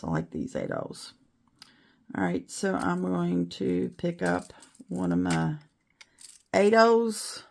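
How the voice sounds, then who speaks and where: A middle-aged woman talks slowly in a low, gravelly voice that is still raspy from a recent illness. She chats and rambles as she works, apologizing for her slips with easy self-deprecation. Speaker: beading video host. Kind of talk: casual tutorial chat.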